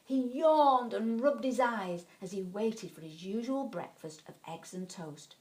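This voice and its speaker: A woman narrating a children's bedtime story in an expressive, dramatic way, leaning on key words. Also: fairly high pitch, measured pace.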